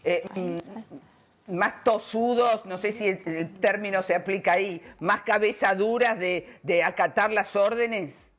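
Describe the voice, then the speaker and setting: A woman's voice: medium pitch, a little slow, fairly bright tone. A woman with an Argentine accent interviewing conversationally, wordy and personal, often feeling her way to the question. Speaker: panel interviewer.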